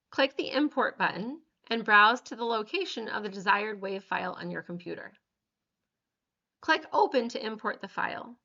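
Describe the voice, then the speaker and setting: A woman reading scripted step-by-step instructions as a tutorial narrator, measured and clearly enunciated. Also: a little high, pushed and bright, muffled recording.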